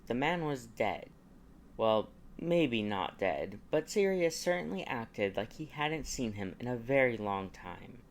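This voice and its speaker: A man's voice: mid-range voice, a little hiss or hum. A man narrating a fan fiction story as an audiobook reader, following a character's thoughts.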